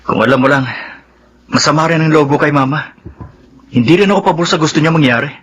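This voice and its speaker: Radio drama younger son: slightly high, rough-edged voice, conciliatory, reasoning. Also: male voice, fast talker, fairly bright tone. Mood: troubled. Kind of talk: Filipino radio melodrama acting.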